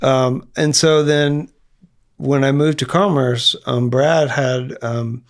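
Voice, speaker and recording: A man's voice: medium pitch, fairly even intonation, takes it slowly. A man in his fifties, a band singer, talking casually in a studio interview with lots of fillers and restarts. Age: 50s. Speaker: guest musician, singer in a band. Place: recording studio.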